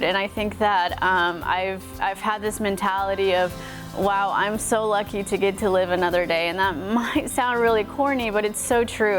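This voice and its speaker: A woman in her thirties from Mexico with a light Texas accent, a morning news anchor talking earnestly and gratefully about her life. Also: medium pitch. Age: 30s. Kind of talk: personal interview talk. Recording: music playing underneath.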